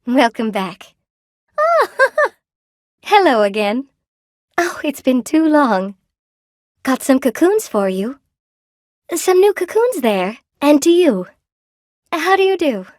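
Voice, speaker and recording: An actress voicing a friendly, lonely weaver spider woman in a video game, with a gentle, quaint, expressive and chatty manner. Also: sits high, slow, pauses often, no echo, clean studio sound, exaggerated voice. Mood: delighted, welcoming. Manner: gentle, quaint, folksy, expressive, self-effacing. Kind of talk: video game character acting.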